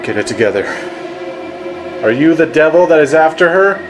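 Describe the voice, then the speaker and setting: A man's voice: fairly high pitch, animated, moderate pace, music in the background. A man speaking aloud as a ghost hunter during a paranormal investigation.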